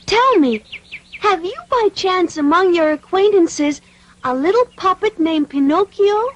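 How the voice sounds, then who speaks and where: A woman voicing a kindly, gracious female dove in a dubbed cartoon, speaking in a gentle, theatrical way. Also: very high-pitched, expressive, measured pace, lo-fi sound. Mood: gentle inquiry.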